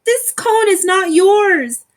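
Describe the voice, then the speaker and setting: A woman voicing a flighty, excitable young male squirrel who speaks in exclamations in a children's storybook. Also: extremely high pitch, slow pace, pitched above the performer's own voice, exaggerated voice. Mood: desperate, defiant. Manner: shouting, exclamatory.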